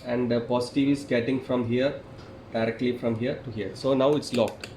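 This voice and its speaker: A man who is a non-native English speaker gives a hands-on technical demonstration, explaining step by step in a halting, repetitive way. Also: medium pitch, fairly even intonation, mellow. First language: not English. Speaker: tutorial presenter, installer. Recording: echoey room, clearly noisy.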